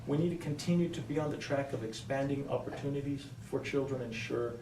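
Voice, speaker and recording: A man applying for a school board seat answers at a public forum, pausing and repeating phrases for emphasis. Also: mid-range voice, warm tone. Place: indoor public forum room. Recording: roomy echo, distant mic, faint noise.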